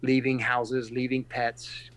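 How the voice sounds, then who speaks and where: A middle-aged man from California and Texas, a pastor speaking earnestly and reflectively in a casual podcast interview, pausing often and restarting his sentences. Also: medium pitch, completely flat delivery, low-quality recording. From California and Texas, USA.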